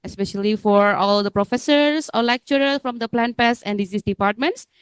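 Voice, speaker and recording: Smooth, dry-voiced woman emcee. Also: on the high side, fairly quick, dry recording. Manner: repetitive, inviting, with fillers. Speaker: master of ceremonies. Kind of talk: event announcements. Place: seminar hall.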